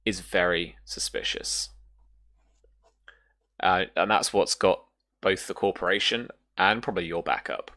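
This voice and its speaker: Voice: low-pitched, measured pace, pauses often, pushed and bright. A game master narrating a tabletop roleplaying game in a casual way, often thinking aloud. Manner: casual, thinks aloud while looking up rules.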